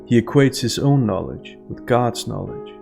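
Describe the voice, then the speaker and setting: A man giving scripted analytical narration in a measured, formal manner over instrumental music. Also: medium pitch, creaky, a little mellow. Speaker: host analyst of statements.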